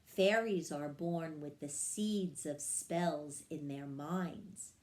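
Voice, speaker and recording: A woman reading a children's story aloud in a measured, expressive storytelling manner. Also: sits low. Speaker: story reader. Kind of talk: scripted children's storybook narration.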